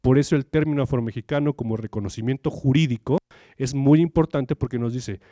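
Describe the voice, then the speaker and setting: A man's voice: mid-range voice, fairly quick, warm tone, no room sound. A Mexican man giving an academic conference talk in an informal, explanatory way, repeating phrases for emphasis. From Veracruz, Mexico. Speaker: academic lecturer.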